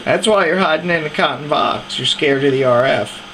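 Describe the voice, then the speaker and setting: A man's voice: a little high, moderate pace, bright, forceful tone. A man bantering casually and teasingly as he records CB radio chatter. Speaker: CB radio hobbyist recording a video. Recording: very noisy CB radio room recording.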